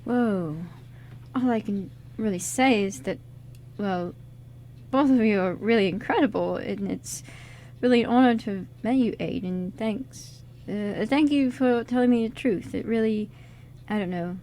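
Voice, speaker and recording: A woman playing an earnest, excitable teenage boy who gushes and stammers in an amateur radio drama reading. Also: mid-range voice, unhurried, slight background noise, natural voice. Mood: awed, subdued. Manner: stammering, gushing, rambling.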